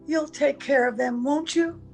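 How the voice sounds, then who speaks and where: A woman's voice: high voice, a little slow, creaky, darkish tone, faint music behind. A woman playing an anguished wife and mother who can never age, earnest and pleading, in old-time radio drama style. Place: at home, recorded over a video call.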